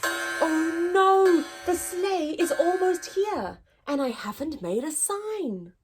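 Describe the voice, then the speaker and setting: A woman voicing a small, excitable, cheerful male Christmas elf for a children's storybook, in an exclamatory, teaching manner. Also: very high-pitched, takes it slowly, over music, voice pushed up, full character voice. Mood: panicked.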